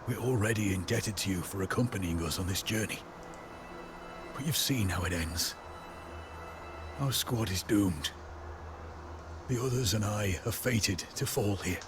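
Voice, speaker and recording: A man's voice: lowish voice, noisy background, close to an everyday voice. A man playing a grave, loyal soldier of a doomed squad in a video game, speaking formally and earnestly. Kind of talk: dramatic video game voice acting. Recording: game ambience and effects behind voice.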